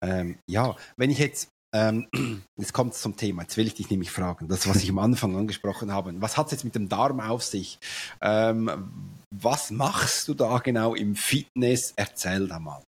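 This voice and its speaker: A man hosting a podcast, hoarse from a cold, chatting in a self-deprecating way with personal stories. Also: on the low side, normal speed. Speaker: podcast host. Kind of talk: podcast conversation.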